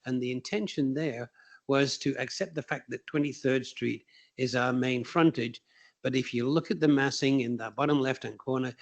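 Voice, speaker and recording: A man presenting as architect at a public county meeting, deliberate and conversational, speaking up for the room. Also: mid-range voice.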